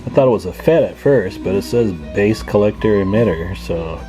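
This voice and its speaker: A man with a low voice talks over clearly audible plucked guitar music. He presents like a hobbyist at the bench, casual and folksy, thinking out loud as he walks through what is in front of him. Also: expressive, moderate pace. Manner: musing, thinking aloud, folksy. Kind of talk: casual hands-on repair walkthrough.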